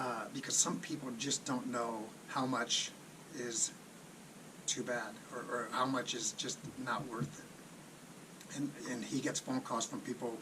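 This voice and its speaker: Distant noisy recording, adult man, medium pitch, casual video host, unscripted commentary, rhetorical questions. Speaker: personal finance video host. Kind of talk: casual off-the-cuff commentary on a list of topics. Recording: distant mic, clearly noisy background.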